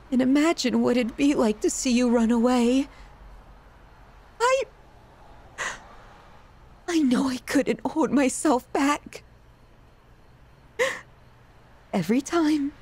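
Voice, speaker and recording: A woman playing an obsessive, lovesick, possessive yandere in an ASMR audio roleplay, with an emotional, halting, dramatic delivery. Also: high-pitched, darkish tone, husky, heavily acted. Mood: resigned longing.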